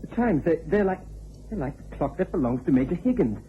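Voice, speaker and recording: An actor playing a nervous, evasive suspect in a radio drama, halting and stammering as he speaks. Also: fairly high pitch, sing-song, slight background noise. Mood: flustered, panicky. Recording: dull old radio recording.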